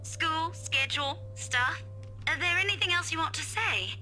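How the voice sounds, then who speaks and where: A woman's voice: extremely high pitch, normal speed, bright, forceful tone, slight background noise, full character voice. A woman voicing a friendly young schoolteacher in a game, chatting in a breezy way. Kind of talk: game voice acting.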